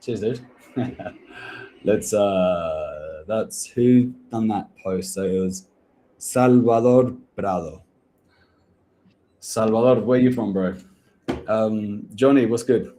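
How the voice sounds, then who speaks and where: A man's voice: on the low side, slow, pauses often, warm tone, slight background noise. A man hosting a live-stream Q&A about getting hired, blunt and coaching, calling people "bro". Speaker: host, recruitment agency owner.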